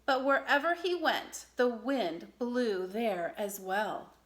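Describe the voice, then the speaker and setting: A woman reading a children's story aloud in an expressive narrating style. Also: a little high, takes it slowly. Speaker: storybook reader.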